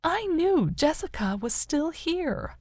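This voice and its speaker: A woman narrator voicing an older mother, grieving and wistful yet still hopeful, with a soft, sorrowful, tender manner in an audiobook. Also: high voice, sing-song, slow pace, dry recording, studio quality, pitched above the performer's own voice, exaggerated voice. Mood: sorrowful, resolute.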